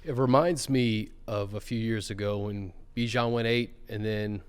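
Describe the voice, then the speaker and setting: Casting: male sports show host, smooth voice, relaxed chat, room with a long tail. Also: lowish voice, normal speed, in a large hall.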